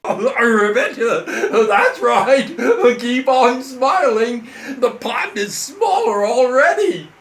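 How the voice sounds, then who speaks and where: A man's voice: extremely high pitch, lively intonation, drawn-out words, few pauses, fairly bright tone, pitched above the performer's own voice, heavily acted. A man voicing a precocious, self-important frog in a declamatory way for a children's bedtime story. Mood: coaxing. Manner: punctuates speech with ribbits, declamatory. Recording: echoey room, off the mic.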